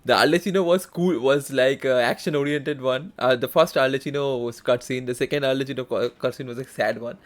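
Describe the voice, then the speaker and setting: A man giving casual, halting live commentary on a game he plays, easily amazed by what he sees. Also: medium pitch. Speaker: game livestreamer. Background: game music.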